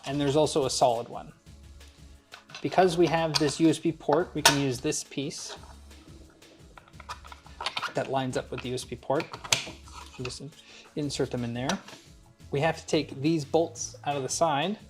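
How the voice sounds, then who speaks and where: A man's voice: on the high side, a little slow, long pauses, loud music behind the voice. A man hosting a hands-on build tutorial, talking informally through each step as he works. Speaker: host of a DIY build tutorial. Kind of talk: casual hands-on instructional narration.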